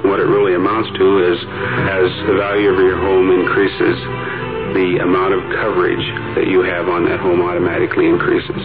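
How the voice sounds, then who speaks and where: A man's voice: sits low, completely flat delivery, a little fast. A man giving an informal, explanatory testimonial as an insurance agent in a radio advertisement. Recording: narrow phone-like old radio ad, music behind.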